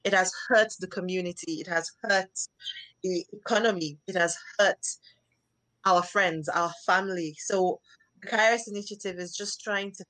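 A woman's voice: lowish voice, steady tone, moderate pace, fairly bright tone, rough recording. Earnest Nigerian woman on a video call. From northeastern Nigeria. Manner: earnest, grateful, repeats herself. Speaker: charity founder guest. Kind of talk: casual video chat interview.

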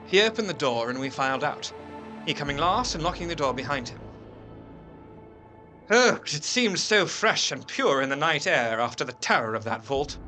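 Haunted Victorian gentleman narrator played by a man, music behind. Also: a little high. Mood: grave. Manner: measured, literary, expressive. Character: earnest, haunted Victorian gentleman recounting horrors. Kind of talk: dramatic scripted narration.

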